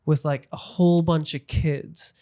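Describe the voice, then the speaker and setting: A man's voice: on the high side, flat delivery, unhurried, dark tone. A British man in his thirties chatting earnestly as a podcast guest, illustrating his points with examples. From Britain. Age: 30s. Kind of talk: casual conversational interview. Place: small podcast studio. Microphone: close studio microphone on stand.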